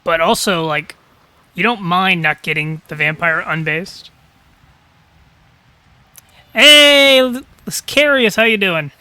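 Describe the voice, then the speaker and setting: A man calls a live game match in a high, ringing voice, talking casually and thinking out loud. His throat is a little crackly. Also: slow pace, pauses often, clear, resonant tone, no room sound. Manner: casual, chatty, thinking aloud. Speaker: caster.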